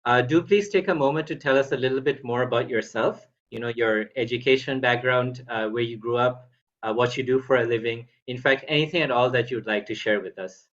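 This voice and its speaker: A man's voice: medium pitch, fairly quick, lo-fi sound. A man hosting a book podcast, asking polite questions with many hesitant fillers. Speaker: podcast host. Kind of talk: book interview.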